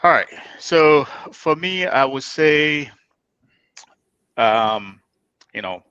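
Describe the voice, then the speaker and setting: A man speaking as a panel guest, halting and searching for words in a casual discussion. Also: a little high, animated, pushed and bright, clean tone, no room sound. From Liberia. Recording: dry, dull lo-fi sound.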